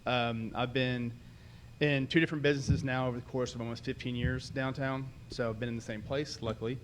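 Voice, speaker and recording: A loud-voiced man talks into a podium mic at a council meeting with faint noise behind him. He is friendly and chatty and rambles a bit. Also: medium pitch, level tone, brisk, rough recording. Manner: friendly and talkative, rambling with fillers. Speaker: local business co-owner speaking at public comment. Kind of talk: public comment at a city meeting. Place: city commission chamber. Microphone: podium microphone.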